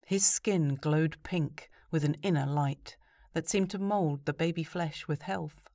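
Low, gravelly woman reading a story. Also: unhurried, dark tone, no room sound, clean studio sound. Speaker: audiobook narrator. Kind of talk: scripted horror story narration.

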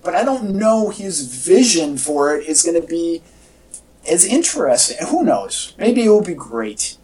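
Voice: mid-range voice, very animated, a little slow, darkish tone. A podcast co-host chatting casually and giving opinions about movies, rambling and often restarting phrases. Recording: echoey, distant-sounding home podcast audio.

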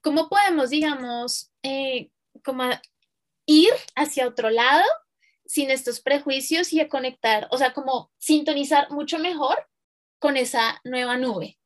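High-voiced woman in reflective casual conversation. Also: unhurried. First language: Spanish. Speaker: conversation partner asking questions.

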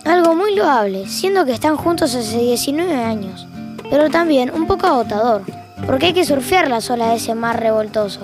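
A woman's voice: high-pitched, expressive, normal speed, over music. A woman with an Argentine accent reading scripted, wry narration for an audio fiction.

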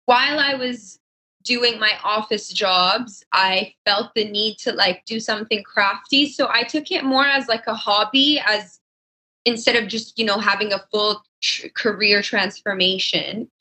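Bright-voiced young woman chatting. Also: medium pitch, a little slow, rough recording. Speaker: podcast guest, fashion designer. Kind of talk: casual conversational interview answers.

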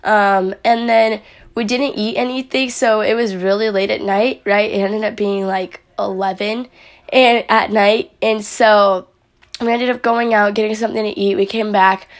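A young woman talks casually into the camera and recaps her week as a vlogger and athlete. Her voice sounds raspy and hoarse after days of yelling while coaching. She rambles along in a friendly way, using many fillers. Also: mid-range voice, steady tone, measured pace.

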